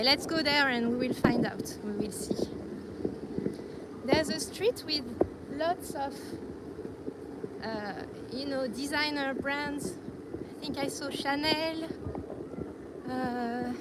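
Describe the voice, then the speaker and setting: Brief: woman vlogger, high voice, slow and hesitant, loud city street behind. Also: very noisy. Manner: hesitant, searching for words, light laughs. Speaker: live-stream vlogger. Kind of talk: casual live-stream walking tour. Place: outdoors on busy city streets.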